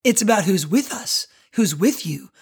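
Raspy preacher guy on a podcast, earnest, lots of ums. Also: sits high, lively intonation, moderate pace. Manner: earnest, reflective, with many fillers. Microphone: podcast microphone.

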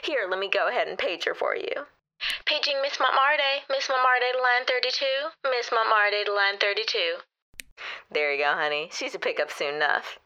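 A woman's voice: a little high, normal speed, sharp tone, dull audio. An actress playing a chatty, nosy and friendly receptionist who calls callers honey and girl, in a comedy sketch.

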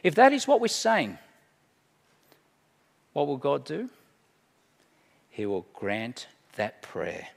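Animated pastor in a reverberant hall. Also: male voice, mid-range voice, slow pace, creaky, long pauses. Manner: emphatic storyteller, swinging from hushed to loud. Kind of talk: sermon.

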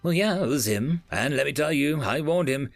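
A man's voice: a little high, expressive, moderate pace, dry recording, soft background music, voice pushed up. A man voicing a boastful, chatty male chef who rambles in folksy slang.

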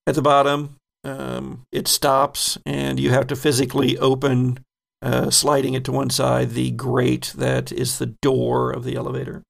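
A man narrating as game master of a tabletop role-playing game, with relaxed, rambling descriptions. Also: mid-range voice, measured pace, vocal fry.

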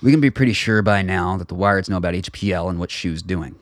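Man acting a gruff, low-voiced old father. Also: fast, dry recording, studio quality, lightly acted. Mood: grim. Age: older. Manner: terse, profane, guarded. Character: gruff, suspicious old father on a covert mission. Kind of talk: radio play acting.

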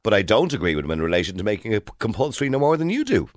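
An Irish man hosting a radio phone-in, quick and animated as he steers a debate and jokes with callers. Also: fairly low pitch, dry recording, studio quality. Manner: animated, interrupting, quick banter. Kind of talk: lively talk radio debate. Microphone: studio microphone.

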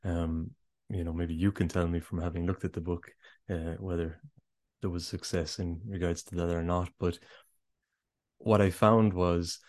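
A man's voice: low voice, little pitch movement, normal speed, darkish tone. A man being interviewed as a guest author and yoga teacher, answering in a thoughtful, conversational way. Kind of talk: podcast interview.

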